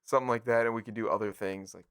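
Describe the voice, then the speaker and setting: A man's voice: on the low side, fairly even intonation, rapid-fire, fairly bright tone, clear, resonant tone, dry recording, studio quality. An American man co-hosting a casual gaming podcast, chatting informally and jokily and talking straight to listeners. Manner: jokey, informal, addresses listeners directly. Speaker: podcast co-host.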